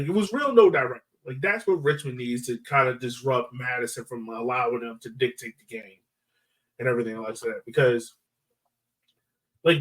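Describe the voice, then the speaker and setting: A man's voice: medium pitch, fairly bright tone. Distant-miked man chatting casually about sports. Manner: informal, slangy phrasing. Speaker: podcast host. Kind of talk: casual sports analysis chat.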